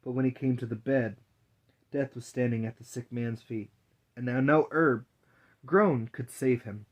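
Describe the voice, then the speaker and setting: A man's voice: medium pitch, a little slow. A man narrating a fairy tale as a dramatic storyteller's reading.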